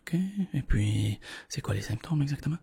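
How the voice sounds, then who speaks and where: A man whispers gently and reassuringly, playing a doctor in an ASMR roleplay, with a breathy voice and softly repeated words. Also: normal speed, noisy background. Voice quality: breathy whisper mixed with soft, creaky low voice.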